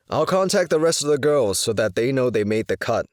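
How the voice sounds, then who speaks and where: A man's voice: mid-range voice, very animated, unhurried, no room sound. A principled dance choreographer, acted by a man in an audio drama. He is composed and polite, with a mid-range voice, varied melody and a dry, close sound.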